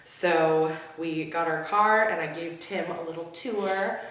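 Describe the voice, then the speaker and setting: A young woman with a hoarse, weak voice from laryngitis, chatting casually as a vlog co-host. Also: lowish voice, slow, fairly bright tone, very echoey, distant mic. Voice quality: weak, whispery voice. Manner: chatty, laughs often. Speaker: podcast co-host.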